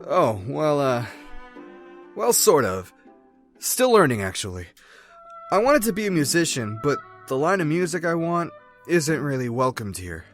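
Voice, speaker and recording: A man's voice: a little high, sing-song, unhurried, long pauses, faint music behind. A man voicing an easygoing young male rat drifter who talks in a laid-back, friendly, chatty way in a fan comic dub.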